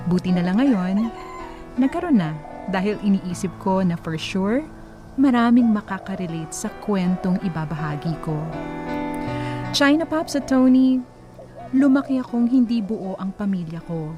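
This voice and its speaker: A woman's voice: mid-range voice, natural voice. A Filipino woman playing an earnest young letter-writer who narrates her life in a radio drama. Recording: music bed under the voice.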